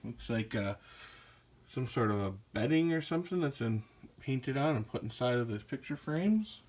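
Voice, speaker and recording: A man in a small indoor craft store narrates a casual walkthrough, relaxed and rambling with frequent fillers. His pitch sits mid-range for a man. Faint noise runs under the recording. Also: normal speed. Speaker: podcast host giving a store tour.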